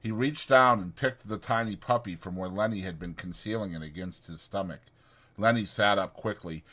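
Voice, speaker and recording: A man's voice: on the low side, moderate pace, bright, forceful tone. A man reading a story aloud as an audiobook narrator, in a dramatic scripted style.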